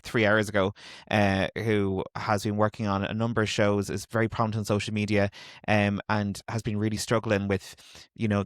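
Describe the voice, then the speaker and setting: Lowish-voiced male host, hesitant questions. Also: no echo, clean studio sound. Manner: searching, hesitant questions with many restarts. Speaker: podcast host, interviewer. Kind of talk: conversational interview.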